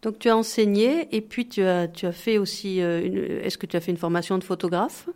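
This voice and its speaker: Mellow-voiced woman interviewer. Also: medium pitch, fairly even intonation, fairly quick. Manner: prompting with short questions and acknowledgements. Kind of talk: conversational interview.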